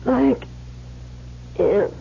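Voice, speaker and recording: An actress playing a despairing, dying woman in a radio drama, speaking in halting, sobbing phrases full of self-blame. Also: a little hiss or hum. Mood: weak, tearful. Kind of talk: 1970s radio drama acting. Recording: muffled vintage radio recording with faint music.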